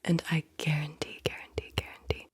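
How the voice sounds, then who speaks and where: A woman whispering softly and breathily as she hosts a soothing, deliberate ASMR guided visualization. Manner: soothing, slow and deliberate, repeats words softly. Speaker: ASMR host guiding visualization exercises.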